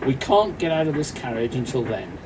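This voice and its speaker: A man playing a calm off-duty policeman in a radio play, speaking in a measured, reassuring, authoritative way. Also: lightly acted. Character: Mike, calm, commanding off-duty policeman on a train. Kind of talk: amateur radio play acting. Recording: noisy, train carriage rumble behind.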